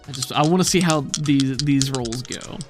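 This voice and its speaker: A man's voice: mid-range voice, normal speed, loud music behind the voice. A man running a tabletop game as game master, casually explaining rules and thinking aloud.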